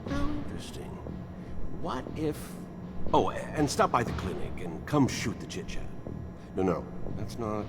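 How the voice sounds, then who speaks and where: An eccentric, swooping, kindly medic. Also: male voice, lowish voice, huge pitch swings, a little slow, creaky, very noisy, full character voice. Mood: fussy, affectionate. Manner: fussy, rambling, quaint wording, swooping. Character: eccentric, kindly, absent-minded older male medic friend. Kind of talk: scripted video game dialogue.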